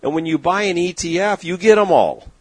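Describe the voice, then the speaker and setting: A middle-aged man, an investment manager, giving plain-spoken, opinionated market commentary with folksy turns of phrase and frequent pauses. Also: high-pitched, lively intonation, breathy edge, dry recording. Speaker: investment manager and newsletter writer. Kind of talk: direct-to-camera market commentary.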